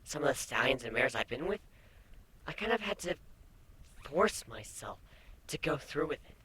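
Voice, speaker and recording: A woman's voice: a bass voice, very animated, moderate pace, a bit bright, slight background noise, full character voice. A woman playing a playful, affectionate female changeling pony in a dramatic fan fiction reading, with a casual, teasing delivery. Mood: candid, awkward. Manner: teasing, casual, hesitant in places.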